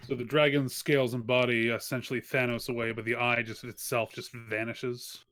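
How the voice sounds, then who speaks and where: A man's voice: medium pitch, flat delivery, moderate pace, fairly bright tone. A man chatting casually as a tabletop game player, in a tentative, questioning way. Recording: music playing behind the voice.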